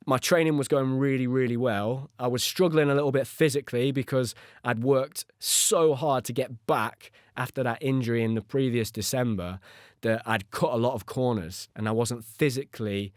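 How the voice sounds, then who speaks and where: A man's voice: mid-range voice, no echo. English man, dry close sound, telling his story. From United Kingdom. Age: 30s. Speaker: podcast host and former gymnast. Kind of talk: first-person storytelling on a podcast.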